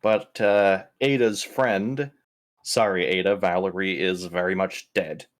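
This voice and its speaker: A man's voice: deep voice, steady tone, takes it slowly. A man bantering casually during a tabletop roleplaying game session.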